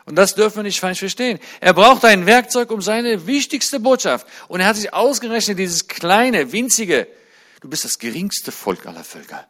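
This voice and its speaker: A man in his forties giving a sermon-style talk to a church congregation. He chats in a friendly way, then grows fervent and climbs high and loud on his main points. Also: very animated, normal speed. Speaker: guest speaker at a church.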